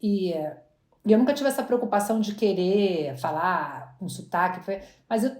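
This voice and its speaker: A woman's voice: medium pitch, lively intonation, normal speed, a little mellow. A woman, chatting as an interview guest and often restarting her words. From Brazil. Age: 40s. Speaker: guest entrepreneur. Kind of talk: casual interview chat. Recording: roomy echo.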